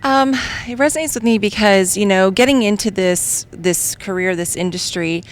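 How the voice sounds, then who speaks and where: A woman's voice: mid-range voice, moderate pace. A woman guest in an interview, talking in a conversational way with many you-knows and ums. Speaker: guest, field marketing manager. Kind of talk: interview answers. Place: trade show venue.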